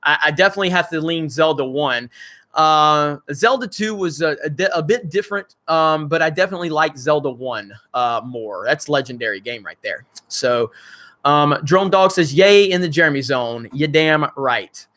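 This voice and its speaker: Bright, crackly-voiced male streamer. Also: a little high, animated, normal speed. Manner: blunt, swears freely, loose and joking. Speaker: channel host. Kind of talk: casual livestream chat reading viewer comments.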